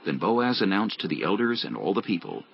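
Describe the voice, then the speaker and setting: A man's voice: sits low, sing-song, brisk, creaky, slightly rough, muffled recording. A man narrating scripted scripture as the narrator of a dramatized audio Bible.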